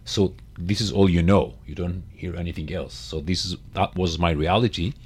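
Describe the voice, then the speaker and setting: Man, Israeli accent, native Hebrew speaker. Very low voice, conversational personal account. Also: moderate pace, husky. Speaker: panel guest.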